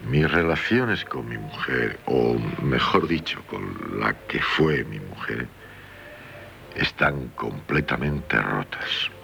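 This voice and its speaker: An actor with a Castilian Spanish accent playing a dissolute, passionate gentleman who stammers and repeats himself, in 1970s radio theatre style. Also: very deep voice, animated, gravelly, slight background noise, heavily acted. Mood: resigned confession. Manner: halting, stammering, repeating words. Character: dissolute, guilt-ridden, passionate gentleman in love. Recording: 1970s radio drama with faint music.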